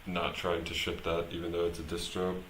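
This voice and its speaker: A man thinking aloud as he live-streams his programming work, talking casually and haltingly, muttering to himself as he goes. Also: deep voice, completely flat delivery, fairly bright tone. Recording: roomy echo, clear background noise, typing.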